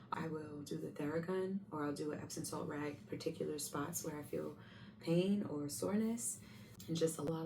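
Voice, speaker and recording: Young woman rambling through her post-show routine, low mellow voice, bit far from the mic, faint hum. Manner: chatty, rambling, self-correcting. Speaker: Broadway performer sharing tips. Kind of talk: casual vlog talk to camera.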